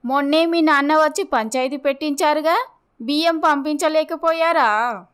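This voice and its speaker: A woman's voice: high voice, moderate pace, fairly bright tone. A woman voicing a mean, scornful older mother-in-law in a story, sarcastic and dismissive.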